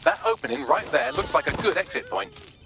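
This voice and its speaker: A man's voice: fairly high pitch, wildly swooping pitch, a little fast, over music. A British man playing a witty, sardonic artificial intelligence assistant in a video game, articulate and breezy with dry sarcasm. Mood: brisk, informative.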